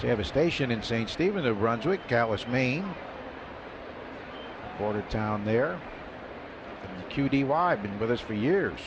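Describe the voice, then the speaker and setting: A man giving relaxed, chuckling color commentary on a baseball radio broadcast. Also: medium pitch, unhurried, long pauses. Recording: muffled radio broadcast with noise.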